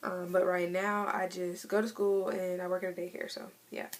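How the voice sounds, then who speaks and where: A woman of twenty in a casual vlog, chatting in a relaxed way with many fillers as she answers tag questions. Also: lowish voice, moderate pace. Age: 20s. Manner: chatty, laid-back, lots of fillers. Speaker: vlogger. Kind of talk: casual tag video chat.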